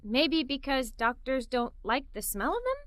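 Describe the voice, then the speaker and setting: A woman's voice: high-pitched, unhurried, no room sound, studio quality, exaggerated voice. A woman voicing a cheerful, curious little girl in a playful children's storybook. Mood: curious, joking. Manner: giggly, excitable, playful.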